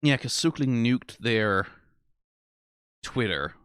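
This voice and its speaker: Male reaction host, mid-pitched, tired, informal and sweary, rambling delivery, dry recording. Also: animated, fairly bright tone, smooth, no echo. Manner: informal, freely swearing, emphatic; rambling, halting, trailing off mid-thought. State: tired after being awake for twenty hours. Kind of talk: off-the-cuff reaction commentary.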